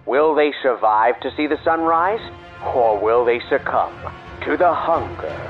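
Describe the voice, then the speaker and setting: Theatrical horror-drama announcer, played by a man, animated delivery, faint music beneath. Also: mid-range voice, unhurried, phone-quality sound, natural voice. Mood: menacing, gleeful. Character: theatrical male announcer of a spooky 1920s horror tale. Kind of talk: dramatic trailer narration.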